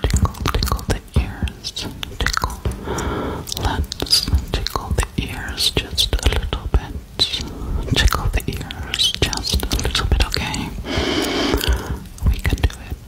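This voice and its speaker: A woman voicing a gentle, soft-spoken female alien girl in an ASMR roleplay, whispering slowly and reassuringly right into a close microphone in a small room. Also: natural voice, low-quality recording. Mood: soothing whisper. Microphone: studio microphone held very close.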